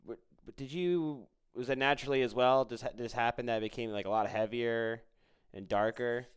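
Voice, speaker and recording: Very clear, smooth-voiced male interviewer. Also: medium pitch. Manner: encouraging, halting questions. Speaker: podcast host and interviewer. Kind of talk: casual interview chat.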